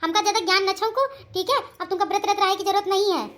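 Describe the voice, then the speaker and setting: A woman voicing sharp-tongued, combative village women in a comic cartoon, with an Awadhi Hindi accent. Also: very high voice, quick pace. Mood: scolding. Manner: scolding, combative. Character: sharp-tongued village wife and her scolding mother-in-law, cartoon.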